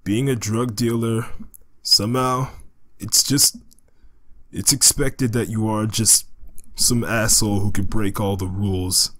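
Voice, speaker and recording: Man acting a slow, low-voiced, haunted criminal. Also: steady tone, fairly bright tone, dry recording. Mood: somber, remorseful. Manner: halting, grave, measured. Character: weary, remorseful male drug dealer haunted by killing. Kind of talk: audio drama acting.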